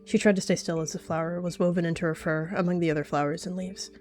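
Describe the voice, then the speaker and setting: Low-voiced woman narrating a roleplay. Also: steady tone, fast, a little mellow, soft background music. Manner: reads narration and acts out lines in quotes. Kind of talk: roleplay storytelling with character voices.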